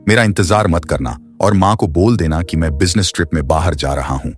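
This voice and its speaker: A man voicing a harsh, dismissive husband in an animated story. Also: deep voice, fairly quick, lightly acted. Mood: scornful. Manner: harsh, scornful. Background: background music.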